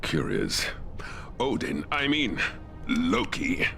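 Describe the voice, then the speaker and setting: An actor playing a stalwart guardian of a realm of gods in a cartoon, grave and formal. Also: male voice, low voice, huge pitch swings, slow, creaky, pushed and bright, exaggerated voice. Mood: solemn, earnest. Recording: music behind the voice.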